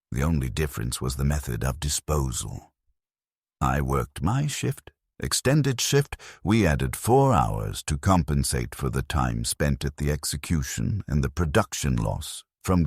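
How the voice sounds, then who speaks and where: A man with an extremely low, husky voice narrates a scripted first-person story. His delivery is somber and measured. The sound is dry, with no room around it. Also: no room sound.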